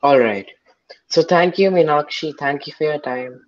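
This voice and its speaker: A man's voice: a little high, moderate pace, muffled recording. A young man, asking short interview questions over a video call through a headset. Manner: brief questions, repeated "all right".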